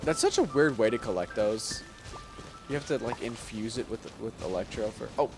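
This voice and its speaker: A man's voice: mid-range voice, lively intonation, moderate pace. A man chatting casually on a gaming livestream in a playful, self-deprecating way. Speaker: game livestreamer. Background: game music.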